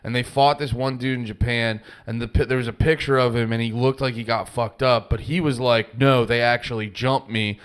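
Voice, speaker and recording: A man co-hosting a podcast, telling stories in relaxed casual chat full of slang and swearing. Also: mid-range voice, brisk, hardly any pauses, clear, resonant tone. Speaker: podcast co-host.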